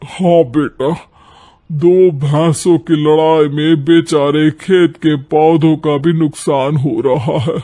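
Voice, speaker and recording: A man voicing an older father-in-law in a family cartoon, exasperated yet playful, in a deliberate, wry manner. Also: a little high, fairly even intonation, dark tone.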